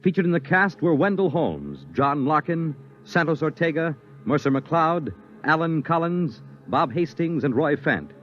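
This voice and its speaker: Formal vintage radio announcer. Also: male voice, a little high, sing-song, measured pace, faint music behind. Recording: dull lo-fi vintage radio broadcast.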